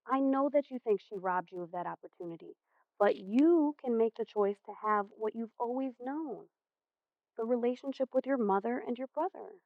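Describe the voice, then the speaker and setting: Patient female school counselor, played by a stage actress: measured, sincere delivery, fairly high pitch, muffled sound. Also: no room sound, close to an everyday voice. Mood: gently firm. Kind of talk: amateur stage play acting. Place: theater stage. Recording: muffled, dull stage recording.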